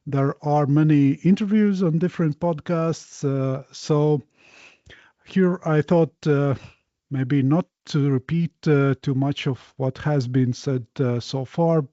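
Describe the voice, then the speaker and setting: A man's voice: mid-range voice, mellow, no echo, muffled recording. A man hosting a podcast interview, speaking hesitantly with many fillers. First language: Latvian. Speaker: podcast host.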